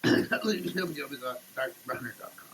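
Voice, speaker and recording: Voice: mid-range voice, animated, moderate pace. Theatrical, wildly swinging dramatic reader. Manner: theatrical, swinging between shouted declamation and muttered asides. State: choking, coughing, gasping while being soaked with water. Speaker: performer. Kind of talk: dramatic reading of product label text, comedic sketch. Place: shower, indoors. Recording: noisy room with running shower water.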